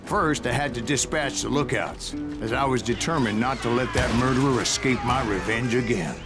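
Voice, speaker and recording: An actor playing a weathered older gunslinger with an American Western drawl, telling his tales of revenge in a wry, deliberate way for a video game. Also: medium pitch, natural voice. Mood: wry storytelling. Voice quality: gravelly. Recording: music behind the voice.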